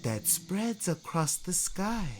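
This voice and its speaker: A woman's voice: on the low side, big pitch swings, takes it slowly, voice pushed up. A man voicing a humble, kind-hearted young woman in a storybook, earnest and full of wonder, with music behind. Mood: awed wonder. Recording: loud music over the voice.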